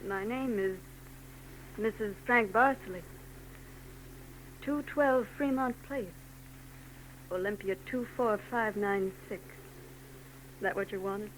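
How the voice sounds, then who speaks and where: An actress playing a troubled young married woman in a 1940s radio drama, soft and hesitant. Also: mid-range voice, slow pace, pauses often, close to an everyday voice, rough recording. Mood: guarded. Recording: old radio broadcast with hum.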